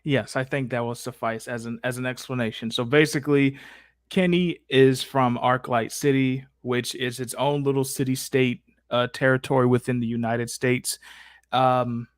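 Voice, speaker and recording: A man's voice: mid-range voice, fairly even intonation, moderate pace, dry recording. A man hosting a livestream Q&A in casual, slangy, playfully exasperated improvised chat. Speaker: host of a character Q&A livestream.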